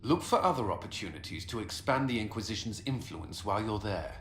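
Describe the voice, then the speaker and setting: An actor playing an earnest, disciplined knight commander in a fantasy video game, with a firm, plain-spoken delivery. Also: male voice, fairly low pitch, animated, brisk. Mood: sober. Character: earnest, disciplined male military commander, former templar knight. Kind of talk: video game cutscene acting. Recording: roomy echo, noisy ambience.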